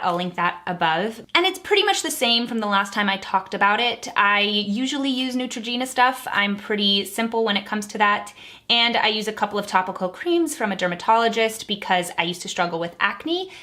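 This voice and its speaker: Young female vlogger, bright and clear tone, upbeat conversational delivery, quick laughs between answers. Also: mid-range voice. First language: English. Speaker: vlogger answering viewer questions. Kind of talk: casual chat to camera.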